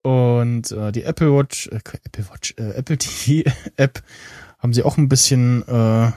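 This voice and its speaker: A man co-hosting a podcast, talking casually and haltingly as he thinks aloud. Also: medium pitch, mellow, dry recording, clean studio sound. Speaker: podcast co-host. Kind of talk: casual, unscripted podcast chat about tech and streaming.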